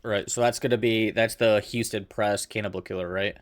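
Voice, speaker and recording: A man's voice: on the low side, fairly even intonation, a little fast, no room sound, studio quality. A man talking casually with a tabletop gaming group as one of the players. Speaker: tabletop role-playing player.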